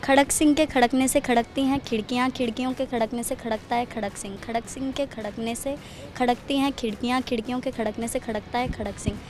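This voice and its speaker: A young woman student answers questions briefly and shyly, often dodging them. Her voice sits fairly high, with faint music underneath. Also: steady tone, brisk, darkish tone. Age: young adult. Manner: shy, brief, evasive answers. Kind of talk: casual interview answers. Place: college campus.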